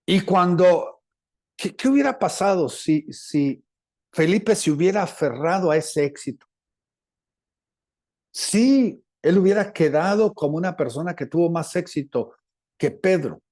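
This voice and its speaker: A male preacher with a Latin American Spanish accent and a fairly high, mellow voice. He teaches in sermon style, speaking deliberately and circling back over phrases to stress them. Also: expressive. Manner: deliberate, repeats phrases for emphasis. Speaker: preacher, apostle.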